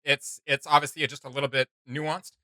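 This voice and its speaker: A man's voice: fairly high pitch, flat delivery, a little fast, bright, forceful tone, dry recording. A man hosting a reaction video, thinking aloud in casual, rambling commentary.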